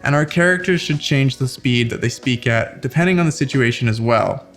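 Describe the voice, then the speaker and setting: A man's voice: mid-range voice, a little fast, fairly bright tone, faint music behind. A man hosting a scripted how-to video, speaking in an instructional way with playful, demonstrative emphasis. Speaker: host giving tips for tabletop RPG character voices.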